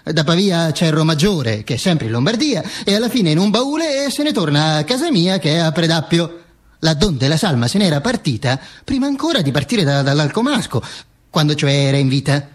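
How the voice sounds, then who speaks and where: An actor playing a dead, vain fascist dictator who narrates his own afterlife in a halting, mock-solemn stage monologue. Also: fairly high pitch, big pitch swings. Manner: halting, stammering repetitions, mock-solemn declaiming. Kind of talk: theatrical monologue, narrating to an audience.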